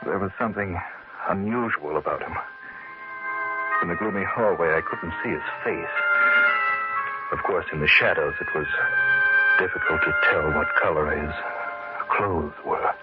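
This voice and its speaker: A man's voice: sits low, moderate pace, pauses often, over loud music, close to an everyday voice. An actor playing a nervous, earnest man in an old-time radio horror drama. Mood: uneasy. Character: nervous, frightened male friend investigating a dark house. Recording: noisy, muffled old radio recording.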